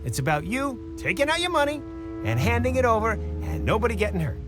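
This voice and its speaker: A pushy male swindler in an anime game, high-pitched and theatrical. He wheedles and bullies with big swings in pitch over loud music. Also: big pitch swings, fairly quick. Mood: smug, menacing. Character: smarmy, pushy small-time swindler gang boss.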